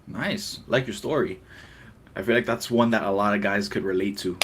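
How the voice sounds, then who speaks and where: A man's voice: lowish voice, normal speed, husky. A man hosting a casual interview, asking questions and giving short, easygoing affirmations. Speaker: podcast host.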